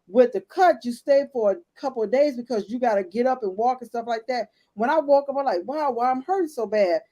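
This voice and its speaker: A woman in her late fifties tells her own story with blunt candor and talks straight to the women listening. Her voice sits a little high but sounds mellow and relaxed. It is easygoing, personal chat. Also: fairly quick. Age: 50s. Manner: candid, storytelling, addresses listeners as ladies. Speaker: podcast co-host. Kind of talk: casual health chat.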